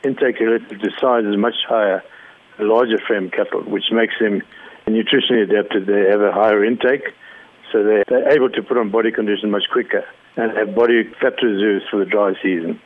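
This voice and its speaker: A man from Zimbabwe, a cattle rancher, explaining his work in a measured way during an interview. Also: lowish voice, fairly even intonation. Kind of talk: phone interview. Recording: narrow phone line.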